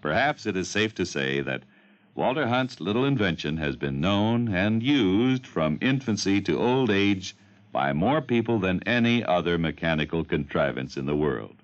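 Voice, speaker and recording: Deep-voiced, expressive old-time radio announcer man. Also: moderate pace. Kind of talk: formal scripted radio narration. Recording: muffled old radio broadcast.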